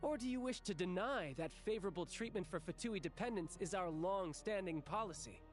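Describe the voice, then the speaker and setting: High-pitched game delegate, earnest and articulate, pressing a point in formal debate. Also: male voice, expressive, fairly quick, faint music behind. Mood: dry, firm. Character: earnest, insistent male political representative.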